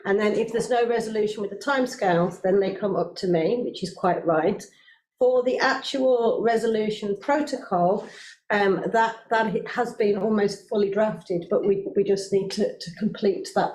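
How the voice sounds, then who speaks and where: A woman, a senior children's services manager, answering a council committee's questions in a measured, explanatory way with frequent pauses. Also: mid-range voice, a little mellow. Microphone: microphone not working, speaking loudly. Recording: roomy meeting room, faint noise.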